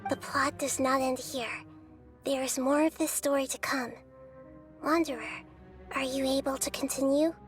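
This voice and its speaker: A woman puts on a tiny floating fairy sidekick for a game. The voice is very high and childlike, with an earnest, jumpy manner. Music sits behind it. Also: fairly even intonation, normal speed, close to an everyday voice. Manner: speaks of self in third person, earnest. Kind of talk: video game cutscene acting.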